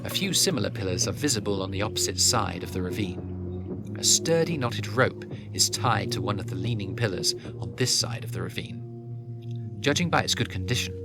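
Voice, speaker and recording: A man narrating a fantasy audio drama from a script, with a measured storytelling delivery. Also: lowish voice, animated, vocal fry, loud music behind the voice.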